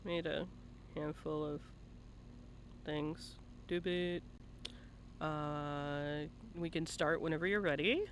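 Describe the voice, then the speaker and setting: A man with a lightly high voice hosts a relaxed live interview stream. He banters and teases with casual profanity, sometimes half-singing his asides. There is some noise behind him. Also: slow pace, pauses often. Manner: playful teasing, profane banter. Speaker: interviewer and livestream host.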